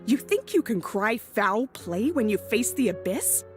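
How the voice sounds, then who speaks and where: A strict young tournament staffer from a video game, speaks in a high, animated voice. She is formal and firm, with faint music behind her. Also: measured pace. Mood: stern, scolding.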